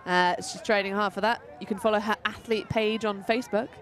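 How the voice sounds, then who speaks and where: A woman calls an outdoor match in a relaxed, chatty way, her voice sitting at an ordinary middle pitch. She drifts between play-by-play and playful asides. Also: brisk. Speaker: commentator. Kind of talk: live sports commentary. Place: outdoor tournament field.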